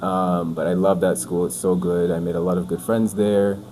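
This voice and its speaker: Young male guest, very deep voice, casual rambling talker, noisy room. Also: moderate pace. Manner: relaxed, rambling, says "like" and "bro" a lot. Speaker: podcast guest. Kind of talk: casual chat. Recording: noisy background.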